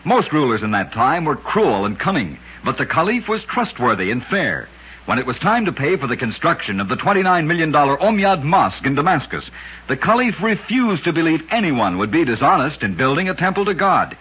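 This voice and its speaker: Bright, high-pitched old-time radio storyteller man. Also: sing-song, brisk, husky. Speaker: radio narrator. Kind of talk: scripted old-time radio narration of strange true tales. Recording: dull old radio recording.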